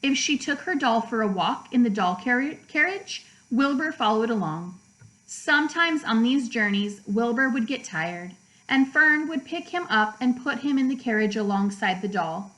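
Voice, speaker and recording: Female storybook narrator, slightly high voice, deliberate read-aloud delivery for children. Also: lively intonation, fairly bright tone, low-quality recording. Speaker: teacher reading a children's book aloud.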